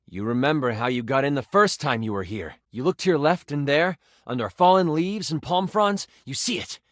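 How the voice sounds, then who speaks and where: A man voicing an excitable, nerdy game master, narrating tabletop fantasy dramatically and dropping into casual, hesitant asides. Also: a little high, moderate pace, dry recording, studio quality. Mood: hushed suspense. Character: living D20 die, excitable nerdy male game master.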